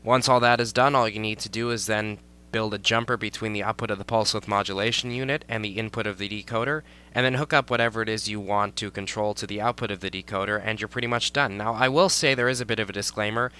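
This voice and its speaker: A man's voice: lowish voice, steady tone, quick pace, bright, forceful tone, clear, resonant tone. Young man talking through a game build. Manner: step-by-step instructional, repeats "you're gonna wanna". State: recovering from a cold. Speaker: tutorial creator. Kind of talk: game tutorial voice-over.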